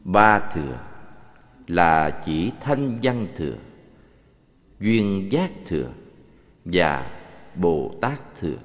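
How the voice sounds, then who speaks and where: A man voicing a serene, authoritative Buddha in an animated scripture film, teaching in a measured, solemn delivery. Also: low-pitched, full character voice. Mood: calm explaining. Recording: muffled, long cavernous reverb.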